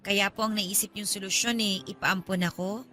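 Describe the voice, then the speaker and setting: A woman's voice: mid-range voice, steady tone, normal speed, pushed and bright, natural voice. An actress playing a polite, guarded young female college student in a radio drama, speaking with hesitant reserve. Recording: music under the voice.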